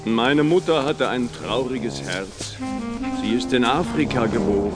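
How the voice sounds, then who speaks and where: An actor playing a big, gentle and confident zoo elephant in a children's audiobook, speaking deliberately and matter-of-factly. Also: male voice, medium pitch, loud music behind the voice, lightly acted. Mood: sad, gentle.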